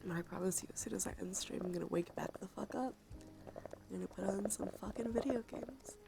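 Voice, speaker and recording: A woman with a stuffy nose chatting softly and sleepily on an ASMR livestream, casual and playful, in whispers and quiet talk. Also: medium pitch, a little fast, over loud music. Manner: soft, breathy whispering mixed with quiet talk; playful, casual, swears freely. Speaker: live streamer.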